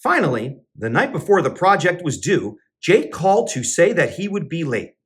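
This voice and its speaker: A man, a teacher and children's entertainer, reading a picture book aloud with expressive storybook narration. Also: moderate pace. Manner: expressive storybook narration with sing-song pitch swings.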